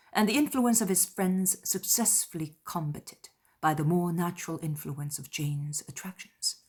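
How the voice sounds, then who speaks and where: A woman narrates a classic novel in a scripted literary style. Her voice sits on the low side and has a husky, breathy texture. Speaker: audiobook narrator.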